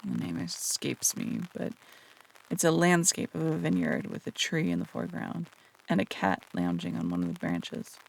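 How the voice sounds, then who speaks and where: Someone doing a flirty phantom thief for roleplay audio, super creaky n breathy, teasing, coy, bouncy pitch. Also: sing-song, normal speed, exaggerated voice, poor audio. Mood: earnest, hesitant. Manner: teasing, coy, casual with hesitant turns. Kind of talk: roleplay audio drama, intimate one-sided dialogue.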